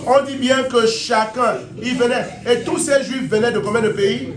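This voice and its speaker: French-speaking preacher, high voice, echoey hall. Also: male voice, moderate pace, a crowd in the background. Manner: animated, repeats phrases, mock gibberish for effect. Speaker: interpreter and preacher. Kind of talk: sermon with call and response. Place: church hall.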